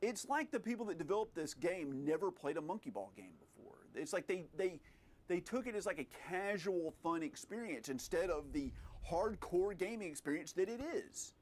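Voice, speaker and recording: High-pitched, animated male game commentator. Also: normal speed. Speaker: gaming video commentator. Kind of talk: opinionated game review commentary.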